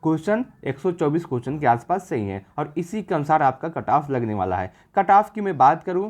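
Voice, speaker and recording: A man's voice: on the high side, a little mellow. Man explaining exam data. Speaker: exam-prep video presenter. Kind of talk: informal explanatory data commentary.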